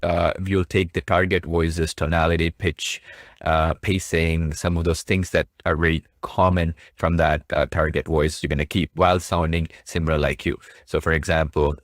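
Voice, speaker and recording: A man casually narrating a software tutorial, explaining step by step with repetition and frequent check-in questions. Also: rumbling low, flat delivery, moderate pace, no echo, clean studio sound. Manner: repetitive, explanatory, often checking with "right?" and "okay?". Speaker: tutorial presenter.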